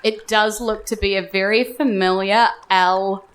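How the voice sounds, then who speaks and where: A woman's voice: medium pitch, steady tone, a little slow. A woman with a New Zealand accent, playful and giggly, narrating as game master in a live tabletop game. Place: live on stage at a festival club.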